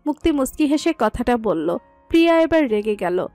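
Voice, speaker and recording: High-pitched woman narrating a romantic story. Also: very animated, normal speed, mellow. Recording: music under the voice.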